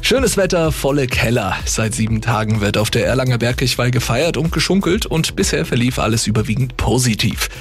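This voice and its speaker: A man's voice: mid-range voice, lively intonation, fairly quick, hardly any pauses, soft background music. Upbeat male local news reporter.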